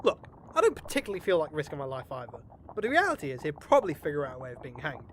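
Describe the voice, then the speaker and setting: A man's voice: a little high, wildly swooping pitch, very fast, a bit bright, slight background noise. A man playing a pompous, scheming, easily exasperated gang leader in a comedy fantasy audio drama, bossy and wordy. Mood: wry, confessional.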